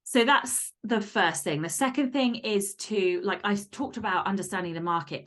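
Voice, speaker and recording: Woman with a lowish voice, straight-talking interview chat, swears freely, really leans on her points. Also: a bit bright. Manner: blunt, emphatic, sweary. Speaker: author and podcaster guest. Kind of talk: interview advice, conversational.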